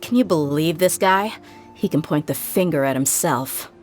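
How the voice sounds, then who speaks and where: A woman's voice: low-pitched, normal speed, a little mellow, soft background music, close to an everyday voice. A woman playing a tough, blunt young mercenary in a video game, dry, frank and wry. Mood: guarded.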